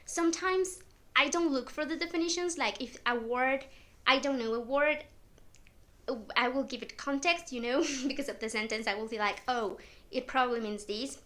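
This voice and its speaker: Chatty non-native English-speaking woman, bright pitch. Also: moderate pace. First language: not English. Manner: chatty, many fillers and little laughs. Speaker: book vlogger. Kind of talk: casual chat.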